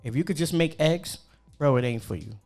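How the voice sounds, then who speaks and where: A young man from New York, a cook, chatting casually and animatedly with slang and quick asides. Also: fairly high pitch, animated, a little mellow, faint music behind. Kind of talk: casual chat.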